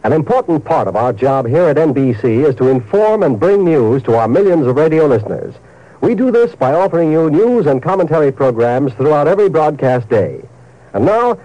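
A man's voice: a little high, mellow. A man reading a network promotion as a 1950s radio announcer, with a polished delivery. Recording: muffled 1950s radio transcription.

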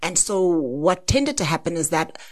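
A woman with a notably low voice makes her points on a podcast, articulate and emphatic. The recording is dry and close, with no room sound. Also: very animated, normal speed, no echo. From South Africa. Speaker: podcast co-host. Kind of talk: casual conversation.

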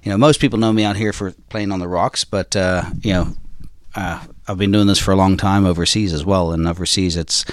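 A middle-aged man from South Africa with a very low voice, telling a story in a loose, rambling way full of "uh" and "you know". He is recorded close up in a dry room. He speaks as a guest on a podcast. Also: fairly even intonation, brisk, no room sound. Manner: rambling, many fillers, laughs easily. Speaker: off-road shop owner, podcast guest. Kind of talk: casual storytelling chat.

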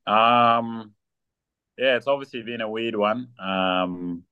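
Deep-voiced young man, laid-back. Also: slow, clean tone, narrow, tinny audio. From South Sudan. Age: young adult. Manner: relaxed, many ums and fillers. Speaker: guest athlete. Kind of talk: casual interview chat.

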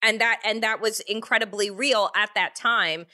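A woman hosting a conversational interview, animated and talking in quick restarts and asides. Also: mid-range voice. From Jamaican family. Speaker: interview host.

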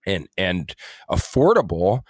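A man co-hosting a podcast in casual conversation, speaking in a halting, rambling way with frequent self-corrections. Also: deep voice, big pitch swings, dry recording, clean studio sound. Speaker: podcast co-host.

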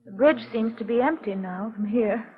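A woman's voice: on the high side, normal speed, lightly acted. An actress playing a brave but anxious young woman in danger in a 1940s radio melodrama, speaking earnestly, politely and with some hesitation. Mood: nervous, brave. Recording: tinny vintage 1940s radio recording.

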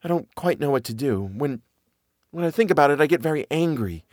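Dude doing a scared young lady, hushed and shaky, voice pitched up. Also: lively intonation, a little fast, no room sound, studio quality. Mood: anxious. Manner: tense, hushed, trembling. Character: nervous, frightened young lady of the house.

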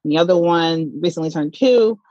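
A woman's voice: fairly low pitch, fairly quick, warm tone, no echo, highs cut off. A woman in her thirties from the Southern United States, guest on a podcast, answering in a casual, chatty way with many fillers and easy laughs. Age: 30s. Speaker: podcast guest, platform creator.

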